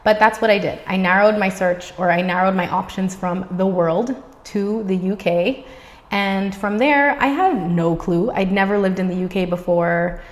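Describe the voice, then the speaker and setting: A woman's voice: medium pitch, normal speed. A woman in her thirties from the Bahamas with a neutral, American-sounding accent, chatting upbeat to camera as a vlogger. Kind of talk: casual talk to camera. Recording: reverberant room sound.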